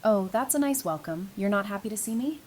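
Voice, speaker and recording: A woman's voice: mid-range voice, animated, fairly quick, a little mellow, close to an everyday voice. A woman playing a tough, caring survivor with a familiar, teasing way of talking in a fan audio drama. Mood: teasing, friendly.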